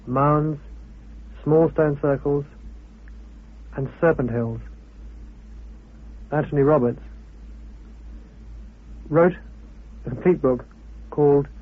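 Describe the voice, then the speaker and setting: A man giving an expository talk as an amateur researcher, in deliberate, halting phrases. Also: on the high side, narrow, tinny audio. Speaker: sociologist and amateur antiquity researcher. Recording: thin, band-limited old radio recording.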